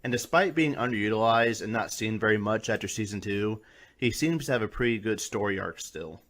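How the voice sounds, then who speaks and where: Low-pitched man narrating a review. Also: fairly quick, a bit bright. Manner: colloquial, mildly profane. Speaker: reviewer, host. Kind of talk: scripted video review narration.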